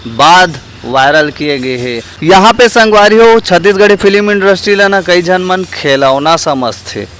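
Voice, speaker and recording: High-pitched Chhattisgarhi man presenting to viewers. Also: lively intonation, a little slow, fairly bright tone, rough recording. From Chhattisgarh, India. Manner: earnest, addresses viewers directly as friends. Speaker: host and street interviewer. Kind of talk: vlog presenting with public opinion questions.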